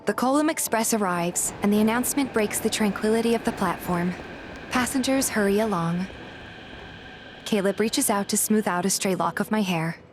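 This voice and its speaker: A woman's voice: medium pitch, normal speed, over music. A woman reading a scripted first-person story as narrator and voice actor in a fan dub.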